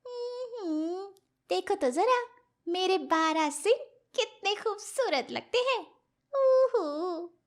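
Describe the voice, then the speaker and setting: A woman voicing a vain, proud female deer in a children's animated fairy tale, given to sighing and humming. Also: very high voice, pitched above the performer's own voice, full character voice. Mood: smug, self-admiring. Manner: self-admiring, slow, sighing and humming.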